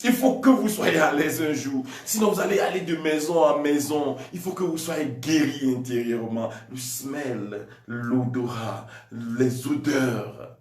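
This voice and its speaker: A man from Togo, preaching a charismatic sermon as a priest and often shouting with drawn-out emphatic phrases. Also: medium pitch. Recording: echoey room, voice from a distance.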